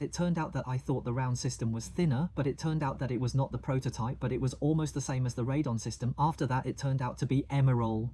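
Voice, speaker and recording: Mellow-voiced man explaining diamond prices. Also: mid-range voice, a little hiss or hum. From Hong Kong. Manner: chatty, asks the viewer questions. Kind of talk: explaining price charts to camera.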